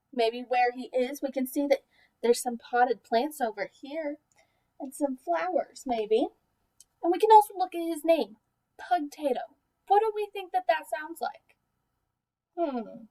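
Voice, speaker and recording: High-pitched woman reading to young children. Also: recorded from a distance, poor audio. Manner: expressive, deliberate teacher talk aimed at young children. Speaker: teacher reading a picture book aloud to children. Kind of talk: children's read-aloud with questions to the listeners.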